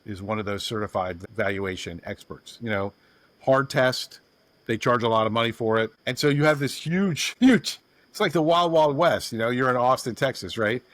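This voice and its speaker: A man's voice: mid-range voice, a bit bright, no room sound. A man hosting a podcast, talking bluntly and with emphatic energy. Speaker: podcast host. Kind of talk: casual podcast chat.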